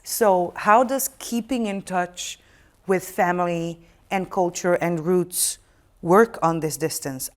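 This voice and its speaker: A woman's voice: fairly low pitch, slow pace. A woman hosting a studio panel talk show, asking probing questions with a wry edge.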